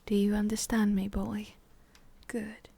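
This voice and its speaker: A woman with an English accent playing a cruel, condescending female boss in an ASMR roleplay, murmuring with drawn-out pauses. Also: mid-range voice, little pitch movement, gravelly, dark tone, breathy edge. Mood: condescending, controlled.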